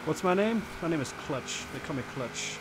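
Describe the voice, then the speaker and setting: A relaxed man in his forties from Kentucky chats with his live-stream viewers, easygoing and joking. His voice sits a bit high for a man, and loud game car engines run clearly behind him. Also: moderate pace, noisy background. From Kentucky, USA. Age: 40s. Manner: easygoing, chatty, teasing viewers. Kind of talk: casual live-stream chat while racing. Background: racing game engine sounds.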